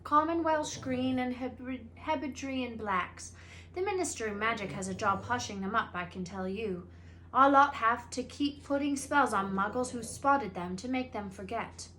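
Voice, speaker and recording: A woman voicing an excitable, blunt schoolboy in a storybook, talking emphatically and informally. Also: a little high, moderate pace, a little hiss or hum, close to an everyday voice. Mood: insistent. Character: excitable, blunt schoolboy, eager know-it-all about dragons.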